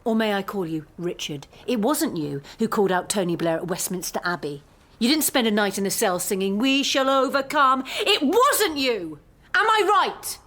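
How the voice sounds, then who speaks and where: An actress in a radio drama playing a defiant, accusing young postgraduate student with a pointed, sardonic delivery. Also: female voice, medium pitch, lively intonation, moderate pace, fairly bright tone. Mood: scornful. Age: young adult. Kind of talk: naturalistic radio drama acting.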